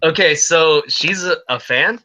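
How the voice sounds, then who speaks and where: A man's voice: a little high, measured pace, pushed and bright. A man co-hosting a podcast, chatting casually and telling anecdotes in a conversational way. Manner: conversational storyteller, quotes others. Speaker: podcast co-host.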